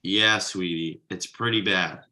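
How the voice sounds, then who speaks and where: A man's voice: deep voice, unhurried, bright, forceful tone, heavily acted. A man playing an exhausted, easygoing thirty-something slacker dad in a casual, hesitant comedy table read. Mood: gentle, explaining.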